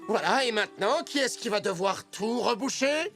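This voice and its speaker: An actor playing a gruff, exasperated cartoon father who scolds. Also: high-pitched, animated, moderate pace, over music. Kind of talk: French cartoon dubbing.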